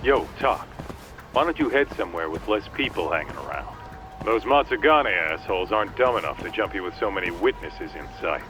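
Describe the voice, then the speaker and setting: A bossy american sidekick in a game, lowish lively voice, blunt street talk. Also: male voice, a little fast, over music. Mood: upbeat, scheming. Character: brash, bossy male detective's sidekick. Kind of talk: video game voice acting.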